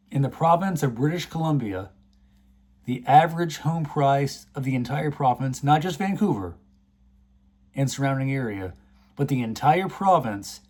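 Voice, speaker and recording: A man's voice: medium pitch, darkish tone, slightly rough. Earnest man making a case. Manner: earnest, indignant about the issue. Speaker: video essay host. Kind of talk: scripted commentary.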